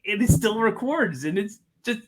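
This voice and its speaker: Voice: mid-range voice, animated, measured pace, rough recording. A podcast host with a joking, teasing way of talking, bantering casually about sports.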